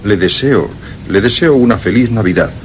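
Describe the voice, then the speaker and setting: An actor playing a gaunt, deferential manservant in a suspense radio drama, restrained and unruffled. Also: male voice, fairly low pitch, expressive, moderate pace, a little hiss or hum, close to an everyday voice. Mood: deferential, polite.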